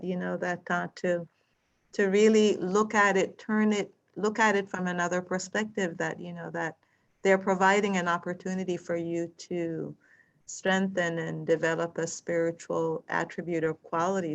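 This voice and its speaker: A woman earnestly sharing a story in an online group discussion, her voice often dropping quiet. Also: on the low side. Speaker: study group participant. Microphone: video call. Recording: dull video call audio.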